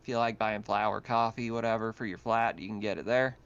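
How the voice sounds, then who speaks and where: A man's voice: fairly low pitch, fairly even intonation, fairly quick, clear, resonant tone, lo-fi sound, lightly acted. A man voicing a casual, matter-of-fact colony guide showing newcomers around in a tabletop game.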